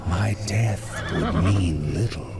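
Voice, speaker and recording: An actor playing the eerie ghost of a necromancer in a video game, solemn and ominous. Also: male voice, deep voice, takes it slowly, creaky, very noisy, exaggerated voice. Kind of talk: video game cutscene acting.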